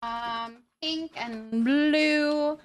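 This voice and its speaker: A woman with a fairly high voice talks casually as a live streamer. She is expressive and informal, responding to her viewers in a sympathetic, conversational way. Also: drawn-out words. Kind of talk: casual chat with live stream viewers, reading and answering comments.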